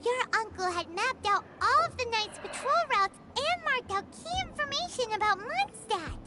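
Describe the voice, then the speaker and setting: A woman's voice: very high-pitched, lively intonation, normal speed, noisy background. A woman voicing a chatty, tiny floating fairy-like girl companion in a video game, making perky, wry remarks.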